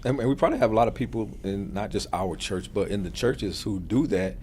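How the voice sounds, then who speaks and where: Man, 50s, low voice, eager and rambling church talk. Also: lively intonation, a little fast, a little mellow, a little hiss or hum. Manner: enthusiastic, rambling with many ums. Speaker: church minister. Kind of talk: casual chat.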